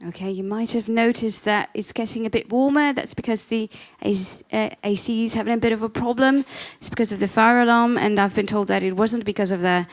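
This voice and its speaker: A woman's voice: fairly high pitch, brisk, hardly any pauses. A woman hosting an event, introducing the next guest casually with many fillers. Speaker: event host. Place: event venue.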